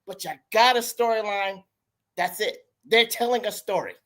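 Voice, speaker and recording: A hoarse man co-hosting a casual wrestling podcast, opinionated and talking straight to listeners. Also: sits high, moderate pace, a bit bright. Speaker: podcast co-host.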